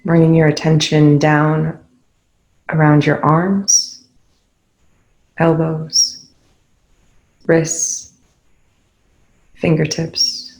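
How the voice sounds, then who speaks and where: Slow, gravelly meditation guide. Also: mid-range voice, poor audio. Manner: calm, instructive, with long pauses between phrases.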